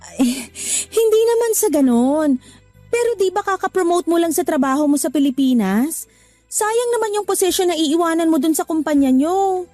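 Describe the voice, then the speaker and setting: A sharp-voiced young woman in a radio drama. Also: extremely high pitch, expressive, normal speed, no room sound, soft background music. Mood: worried. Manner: teasing, then scolding. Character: young woman working abroad, practical, a little irritated. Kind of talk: Tagalog radio drama acting.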